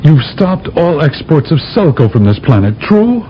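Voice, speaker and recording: Bold, animated astronaut envoy. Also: male voice, fairly high pitch, moderate pace. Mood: urgent, explaining. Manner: insistent, theatrical, emphatic. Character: bold, persuasive male astronaut envoy from Earth. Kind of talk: 1970s radio drama acting. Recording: dull, noisy old radio broadcast recording.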